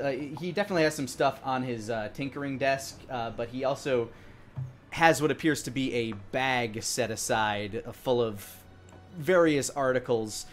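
A man in his thirties narrating as game master, improvising descriptions and searching for his words. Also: mid-range voice. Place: indoor room. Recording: music behind the voice.

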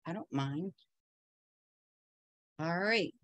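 A woman's voice: on the low side, lively intonation, very slow, fairly bright tone, dry recording. Woman, middle-aged, quilting teacher, chatty and practical, dry close sound. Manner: chatty, practical, talks while working. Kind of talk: casual sewing tutorial. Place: home sewing studio.

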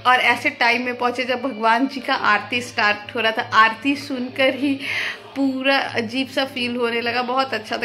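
A woman's voice: fairly high pitch, bright, forceful tone. A young woman talking straight to viewers in a casual, upbeat food vlog, filmed on a phone held at arm's length. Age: young adult. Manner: chatty, upbeat, addresses viewers directly. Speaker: food vlogger. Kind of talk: casual vlog chat to camera. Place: street food stall outdoors and a room at home. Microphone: phone camera at arm's length.

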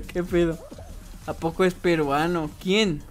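A man's voice: high voice, slow pace, soft background music. A young man with a Mexican accent chatting casually on a game livestream, reading viewers' comments aloud and answering them. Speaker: streamer. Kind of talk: casual livestream chat while gaming.

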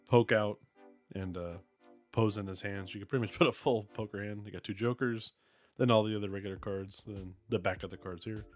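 A man with a voice left raspy by a cold, casually unboxing and reviewing a toy figure with plenty of ums and uhs. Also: on the low side. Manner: relaxed, many ums and uhs.

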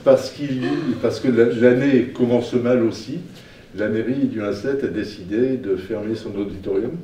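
A man's voice: mid-range voice, fairly even intonation, moderate pace, dark tone, a little hiss or hum. Older man hosting, distant in a roomy hall. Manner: hesitant, many fillers, standing and gesturing. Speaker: event host. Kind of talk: moderating a public debate. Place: small lecture room.

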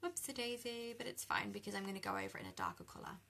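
A young British woman with a croaky voice chatting easily with live viewers while she colours at a craft desk. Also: mid-range voice, huge pitch swings, racing, bright, forceful tone. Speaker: card-making demonstrator. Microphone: overhead camera over a desk.

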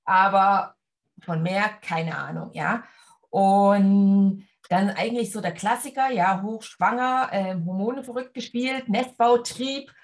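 Woman from leipzig, 40s, sewing teacher chatting over webcam, middle pitch, lots of ähm. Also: slow pace. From Leipzig, Germany. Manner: relaxed, talks with her hands, many fillers. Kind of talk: casual video-call interview. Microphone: webcam on a video call.

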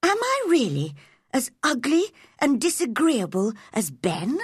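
Storybook young girl played by an adult female narrator: high, animated, eager, earnest, a lonely child. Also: a little slow, no room sound, clean studio sound, exaggerated voice.

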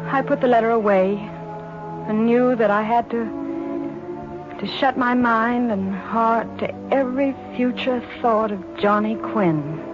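An actress playing a proud, wounded mother, with solemn, halting delivery in 1940s radio drama style. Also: a little high, muffled recording, music in the background, lightly acted. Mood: solemn, wistful. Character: wounded, proud wartime mother telling her story to her son.

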